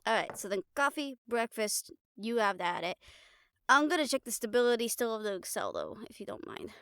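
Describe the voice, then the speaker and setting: A woman playing a caring, blunt, teasing young girlfriend in an improvised audio roleplay, chatting casually and haltingly to the listener. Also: fairly high pitch, very animated, a bit bright, clean tone, no echo, studio quality. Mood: teasing, affectionate. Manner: casual, halting, playful swearing, affectionate teasing.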